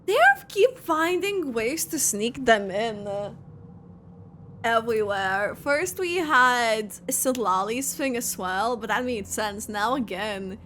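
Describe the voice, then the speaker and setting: A woman giving casual, expressive reaction commentary while playing through a video game. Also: on the high side, takes it slowly, a little hiss or hum. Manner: excitable, expressive, exclaiming. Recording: loud game music behind the voice. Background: game music.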